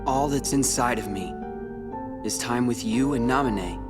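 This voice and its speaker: A man's voice: mid-range voice, moderate pace, close to an everyday voice. An actor playing a guarded teenage boy warrior in a video game, somber, earnest and intense. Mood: somber, accepting. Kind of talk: dramatic video game voice acting. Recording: long reverb, music behind.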